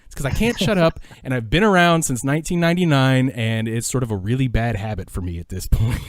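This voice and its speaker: A man's voice: mid-range voice, brisk, no echo, clean studio sound. A man chatting casually as a podcast guest, talkative and self-mocking, with theatrical turns of phrase. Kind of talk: casual chat.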